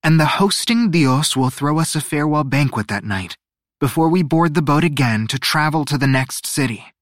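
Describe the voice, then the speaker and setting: A composed, authoritative woman played by a male narrator addresses her young charges in an audiobook. Her voice is lifted in pitch, with formal, measured wording and a firm manner. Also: lively intonation, no echo, clean studio sound, natural voice.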